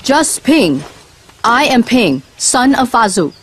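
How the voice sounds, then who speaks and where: A woman's voice: fairly high pitch, big pitch swings, slow pace, a little hiss or hum. A woman playing an earnest, hesitant young woman who poses as a male soldier in a video game. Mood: forced confidence. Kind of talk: animated video game voice acting. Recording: music behind the voice.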